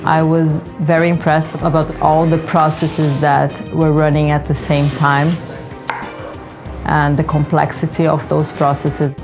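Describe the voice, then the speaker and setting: A middle-aged woman from Brazil speaks as a project manager in a promotional interview. Her voice sits low, and background music runs clearly under her words. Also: flat delivery, measured pace. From Brazil, later Germany. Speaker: senior consultant, project manager. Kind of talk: interview soundbites in a promotional film. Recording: music behind the voice.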